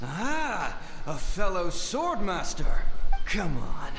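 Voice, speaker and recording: A man's voice: high voice, wildly swooping pitch, slow pace, music in the background, exaggerated voice. A man voicing a taunting swordmaster spirit in a video game, challenging, with an echo effect on the voice. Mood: menacing. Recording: echoing voice over clear noise.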